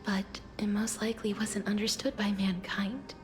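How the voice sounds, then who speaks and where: An actress playing a solemn young maiden in a fantasy game, with a soft, breathy, half-whispered voice and formal, earnest delivery. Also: female voice, mid-range voice, steady tone, moderate pace, faint music behind, lightly acted. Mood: tender, grateful. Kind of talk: scripted fantasy game dialogue.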